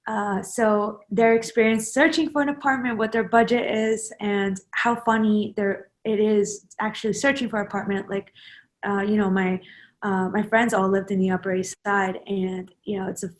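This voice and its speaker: A woman's voice: mid-range voice, little pitch movement, normal speed. Woman in her 20s, interview guest, loose rambling delivery with lots of ums and restarts. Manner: rambling, many fillers and restarts. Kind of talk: casual interview chat.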